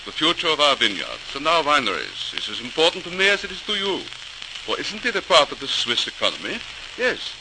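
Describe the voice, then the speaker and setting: An actor playing a skeptical, fussy company boss who repeats himself, in 1940s radio drama style. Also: male voice, on the high side, huge pitch swings, a little fast. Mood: apologetic, firm. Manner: hesitant, repetitive, dismissive. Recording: noisy crackling old radio recording.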